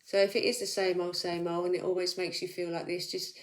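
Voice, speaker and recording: A woman's voice: on the low side, level tone, a little fast, very noisy. Chatty woman reading tarot. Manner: chatty, reassuring, thinks aloud. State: slight sore throat. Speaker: tarot reader. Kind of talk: casual weekly tarot reading.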